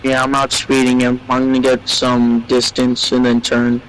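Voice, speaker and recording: Dude in voice chat during a plane game, mid pitch, competitive, giving it back to his friend. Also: monotone, normal speed, a little hiss or hum, low-quality recording. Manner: competitive, bantering. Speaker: friend and co-player. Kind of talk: casual gameplay chat.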